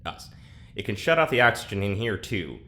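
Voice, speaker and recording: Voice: sits low, sing-song, a little fast, pushed and bright, full character voice. An actor playing a scrappy, exasperated test subject in a sci-fi audio drama, talking bluntly. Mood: wry urgency.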